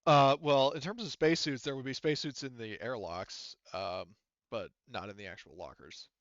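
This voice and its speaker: A man running a casual tabletop roleplaying session as game master, explaining things in a halting way. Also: mid-range voice, fairly bright tone, dry recording, dull audio.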